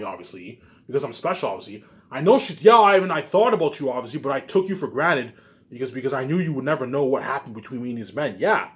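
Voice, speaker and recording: A man with a mid-pitched voice rambles informally to an audience of viewers. He sounds agitated and emphatic, swears freely and circles back over the same phrases. His phrase endings often drop away into short asides. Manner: repetitive, with trailing asides at phrase ends.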